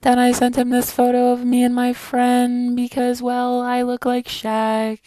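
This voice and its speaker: Woman, slightly high pitch, unhurried, jokey storytime, dry sound. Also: monotone, warm tone, no room sound, soft background music. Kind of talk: casual storytime to camera.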